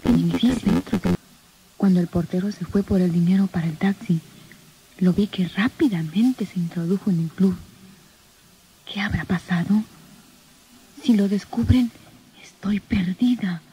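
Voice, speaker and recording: A woman's voice: mid-range voice, moderate pace, long pauses, warm tone, slight background noise, natural voice, poor audio. An actress playing a fearful, submissive young woman held by a gangster, hesitant and anxious, in an old radio soap opera.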